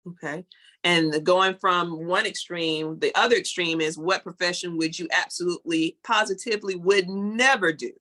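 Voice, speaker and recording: Bright-voiced woman hosting an interview. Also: fairly low pitch, steady tone, moderate pace. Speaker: interview host. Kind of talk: casual questionnaire-style interviewing.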